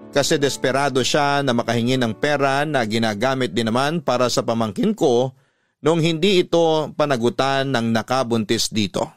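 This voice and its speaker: A Filipino man playing an earnest letter writer, narrating his family story in a measured, confiding radio drama style. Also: medium pitch, no room sound, natural voice. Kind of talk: scripted first-person radio drama narration.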